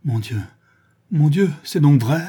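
Man acting an anguished blinded brigand, pitched up. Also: lively intonation, a little slow, warm tone, slightly rough. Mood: desperate. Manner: exclamatory, pleading, repeating words in anguish. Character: brutal male brigand, newly blinded, raging then pitifully despairing.

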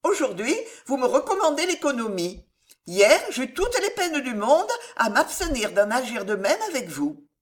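Woman reading as young gentlewoman, sincere, generous, polite, eloquent, lively lilting pitch, storybook. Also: moderate pace. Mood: gently ironic. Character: sincere, generous young heiress, earnest and firm. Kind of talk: audiobook character voice.

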